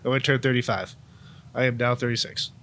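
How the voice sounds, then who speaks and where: A man's voice: mid-range voice, steady tone, quick pace, a bit bright, slight background noise. A man in his mid thirties joining a casual podcast chat, speaking in a matter-of-fact, joking way. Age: 30s.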